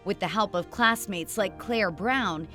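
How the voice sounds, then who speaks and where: A woman's voice: mid-range voice, unhurried, bright, forceful tone, faint music behind. A woman working as a TV news reporter, reading a scripted feature narration.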